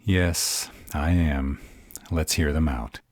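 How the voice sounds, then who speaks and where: Man acting as arrogant vampire Ravenoff, extremely deep. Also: steady tone, slow, a little mellow, no room sound, heavily acted. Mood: commanding. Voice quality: very deep, husky. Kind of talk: dramatic audio roleplay acting.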